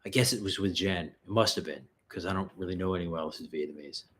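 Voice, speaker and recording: A man's voice: low-pitched, fast, slight background noise. A man from the US tri-state area, hosting a casual livestream Q&A in a loose, profane, rambling way with lots of fillers and restarts. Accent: New York area. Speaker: livestream host answering viewer questions.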